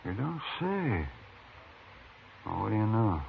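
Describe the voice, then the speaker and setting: An actor playing a fussy, folksy frontier town doctor in a 1950s radio western. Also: male voice, lowish voice, very animated, slow, creaky, full character voice. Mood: surprised. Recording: muffled, noisy 1950s radio recording.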